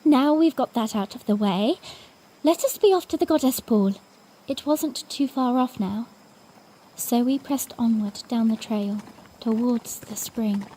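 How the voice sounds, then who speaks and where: A woman's voice: on the high side, measured pace, mellow, slight background noise, natural voice, rough recording. A woman playing a bashful young princess, telling her own story tenderly and earnestly in a fan-made audio drama. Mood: happy, recounting.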